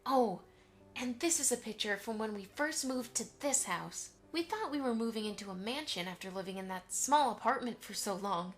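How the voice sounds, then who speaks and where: A woman voicing a gentle, affectionate mother pony who reminisces fondly in an amateur audio drama. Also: a little high, animated, normal speed, lightly acted. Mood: fond, nostalgic. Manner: affectionate, storytelling to a child.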